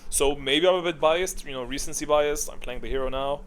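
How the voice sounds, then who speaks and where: A man's voice: fairly high pitch, brisk, a little hiss or hum. A man giving casual, off-the-cuff commentary as he ranks things, thinking aloud and repeating short phrases. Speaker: video creator ranking game heroes.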